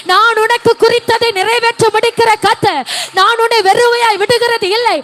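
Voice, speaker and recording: A woman's voice: extremely high pitch, a bit bright. A woman delivering a fervent prophetic prayer, speaking in tongues and repeating each line. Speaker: prophetic minister.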